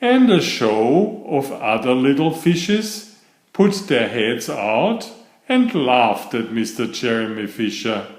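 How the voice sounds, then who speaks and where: Slow, animated male storybook narrator. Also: medium pitch. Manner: dramatic, deliberate, stretches key words. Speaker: children's story narrator and author. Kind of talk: expressive storybook read-aloud. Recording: roomy, echoey sound.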